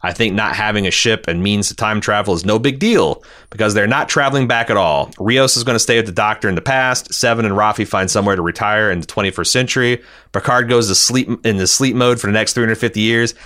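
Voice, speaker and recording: A man with a fairly low voice hosts a casual podcast. He talks through television recaps and listener comments as an opinionated critic. His delivery is exasperated and salted with profanity. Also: fast talker, bright, forceful tone. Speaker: podcast host. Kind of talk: casual TV recap discussion, reading listener feedback.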